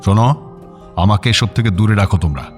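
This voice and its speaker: Man playing a low, gruff older man. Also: fast talker, big-room sound, faint music behind, lightly acted. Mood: gruff, irritated. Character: gruff, blunt older man, wry and hot-tempered. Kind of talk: Bengali radio drama acting.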